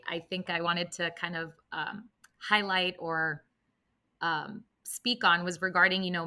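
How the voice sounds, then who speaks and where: A woman's voice: on the low side, sharp tone. A woman talking conversationally as a panelist and advocate in a discussion. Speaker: panelist, women veterans advocate.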